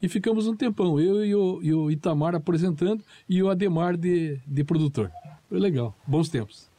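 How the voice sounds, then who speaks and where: Mellow higher-voiced man, jokey. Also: moderate pace. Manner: jokey, hesitant restarts. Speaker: guest, broadcaster. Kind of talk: reminiscing chat.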